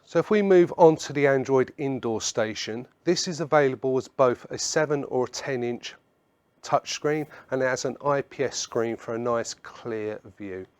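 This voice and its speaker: A man's voice: mid-range voice, moderate pace, smooth, no echo. Man presenting a webinar, close dry sound. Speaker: product presenter. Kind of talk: webinar slide presentation, semi-scripted explanation.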